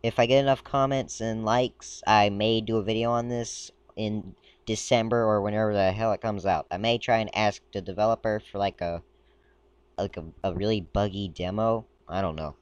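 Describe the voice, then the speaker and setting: A man casually commenting while browsing a game page, talking in a rambling, halting way and stumbling as he reads aloud, a little sick. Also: on the low side, steady tone, smooth, no echo, dull audio. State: a bit sick. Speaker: gaming video creator. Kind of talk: casual screen-recording commentary.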